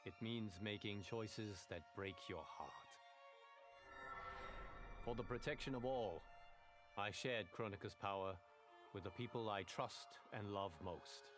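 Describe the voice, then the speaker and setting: An actor playing a noble, wistful hero turned god in a video game, speaking in an earnest, reflective monologue. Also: male voice, lowish voice, natural voice. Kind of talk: dramatic game ending monologue. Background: music.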